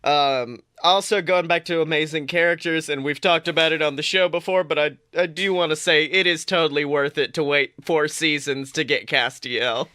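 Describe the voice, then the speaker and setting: Man, podcast co-host, bright tone, dry close sound, animated, swears and laughs. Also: a little high, normal speed, hardly any pauses, smooth, no echo. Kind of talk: casual chat.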